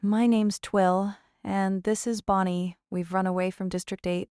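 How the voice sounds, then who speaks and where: A woman's voice: mid-range voice, level tone, unhurried, dark tone, clean tone, no room sound, clean studio sound, lightly acted. A woman playing a weary, earnest runaway fugitive in an audio drama.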